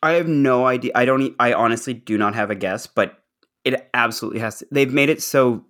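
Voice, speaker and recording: A man's voice: medium pitch, fairly quick, fairly bright tone. A man co-hosting a podcast in casual chat, informal and given to acting out lines in asides. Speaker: podcast co-host. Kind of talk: casual chat recapping a show.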